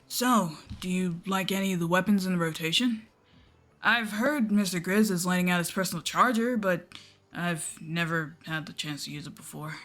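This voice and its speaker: A woman's voice: lowish voice, moderate pace, a bit bright, soft background music, lightly acted. A woman voicing a nervous, easily offended young male inkling in a story, hesitant and defensive.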